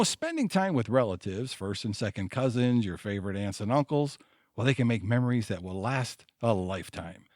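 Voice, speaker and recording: A man's voice: medium pitch, no echo, clean studio sound. A man narrating a scripted introduction as the announcer of an audio theater play.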